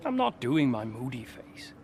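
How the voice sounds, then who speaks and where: A man's voice: medium pitch, sing-song, moderate pace, a little mellow. A man playing a curt, middle-aged veteran racing driver in a game cutscene, with noise behind him. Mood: uneasy, earnest. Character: gruff veteran racing driver, blunt but secretly soft-hearted. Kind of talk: naturalistic game cutscene acting.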